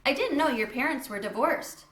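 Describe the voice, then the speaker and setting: A woman's voice: high-pitched, animated, normal speed, fairly bright tone, roomy sound, voice pushed up. A woman voicing a friendly, curious young schoolboy in a storybook, with an eager, casual manner.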